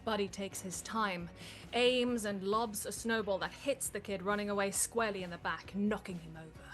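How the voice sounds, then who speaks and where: A woman's voice: medium pitch, moderate pace, fairly bright tone, faint music behind. A woman reading scripted stage directions as narrator at a comedic live table read.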